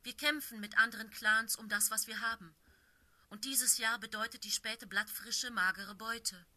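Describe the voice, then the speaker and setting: Woman reading stern she-cat leader, firm, commanding, bright sharp tone. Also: fairly high pitch, fairly even intonation, normal speed. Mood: measured.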